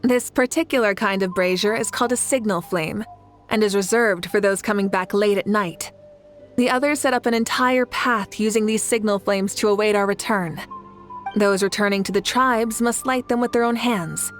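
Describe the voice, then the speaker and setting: Actress as a steady female leader, calm, reassuring, mid-pitched. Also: normal speed, soft background music, natural voice. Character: confident, caring female leader.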